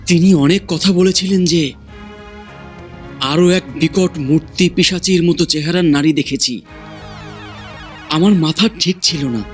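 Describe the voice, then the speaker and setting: Audio drama role: bewildered young-hearted poet disciple, played by an actor, high male voice, slow and earnest, music beneath. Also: close to an everyday voice. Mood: earnest protest. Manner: dazed, earnest, protesting. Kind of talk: Bengali audio drama acting.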